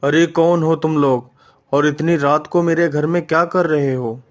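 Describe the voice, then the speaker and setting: A man's voice: on the high side, fairly even intonation, moderate pace, faint music behind, lightly acted. A man voicing a stern, authoritative figure of wealth and rank in a Hindi moral-story cartoon, with a commanding manner. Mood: indignant.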